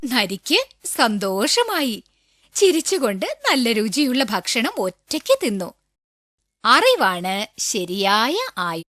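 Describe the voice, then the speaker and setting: High-pitched, animated woman storyteller. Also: takes it slowly. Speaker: narrator. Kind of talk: scripted storytelling for children.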